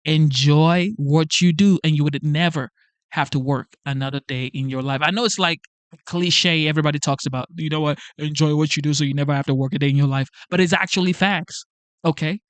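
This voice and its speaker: A man's voice: a little high, steady tone, fairly quick, smooth, no room sound. Emphatic man's dry pep talk. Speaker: channel host. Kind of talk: motivational livestream talk to camera. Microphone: facing a camera.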